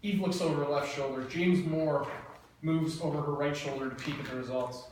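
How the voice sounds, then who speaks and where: A man's voice: a little high, normal speed, distant mic. Man reading stage directions, echoey room. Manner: plain, even reading with small stumbles. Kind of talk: reading screenplay action lines. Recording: echoey room, mic from afar, faint noise.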